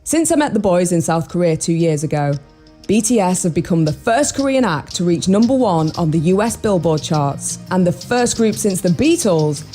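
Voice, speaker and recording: Woman radio host, low and mellow, polished feature narration with music underneath. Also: lively intonation, normal speed. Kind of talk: scripted radio feature narration and interview questions.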